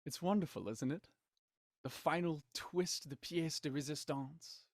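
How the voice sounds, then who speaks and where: An actor playing a smug, menacing villain in an audio drama, mocking, theatrical and condescending in manner. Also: male voice, on the high side, a little slow, no echo, studio quality. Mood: smug, taunting. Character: smug, menacing male villain, cold culprit and puppet-master writer.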